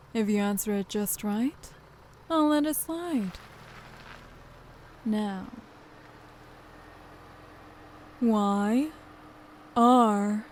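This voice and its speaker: A woman's voice: fairly high pitch, darkish tone, poor audio. A woman acting as a tough, sly, self-assured syndicate enforcer in an audio drama, with a taunting manner. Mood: probing, menacing.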